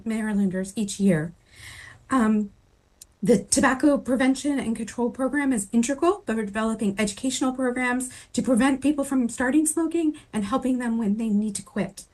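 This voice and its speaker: A woman's voice: a little high, darkish tone, low-quality recording. A woman with a cold and a fading voice, giving testimony over a video call, hesitant with many fillers. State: hoarse from a cold. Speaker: advocacy director testifying. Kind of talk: remote legislative testimony.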